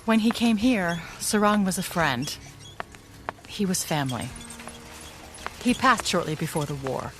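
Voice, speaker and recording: An actress playing a capable, caring mother and ally in a pulp audio drama, calm, reassuring and matter-of-fact. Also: lowish voice, unhurried, pauses often, music in the background.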